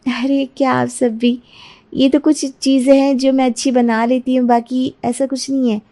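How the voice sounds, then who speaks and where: Woman acting a high, sugary young daughter-in-law. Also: level tone, normal speed, warm tone. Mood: sweet, eager. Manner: sugary, eager to please. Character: lazy, sweet-talking young daughter-in-law, cheerful schemer. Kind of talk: Hindi cartoon moral story dialogue.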